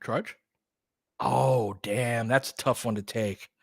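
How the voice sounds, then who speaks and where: A man's voice: mid-range voice, steady tone, slow, creaky, slightly rough, dry recording, clean studio sound. A man hosting a live basketball stream, giving casual, analytical commentary and chatting with viewers in between short reactions to the play.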